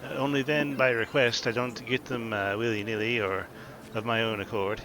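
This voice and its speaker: A man's voice: mid-range voice, level tone, normal speed, fairly bright tone, a little hiss or hum, natural voice. A man voicing a fussy male hat shop proprietor who talks hesitantly and politely.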